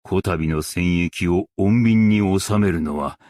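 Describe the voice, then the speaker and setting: A dignified, stern master craftsman and warrior from a game. His voice is very low and he speaks slowly in formal, archaic phrasing, each line weighty and measured. The sound is close and dry. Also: a little mellow, dry recording. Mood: solemn. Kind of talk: Japanese game character voice lines.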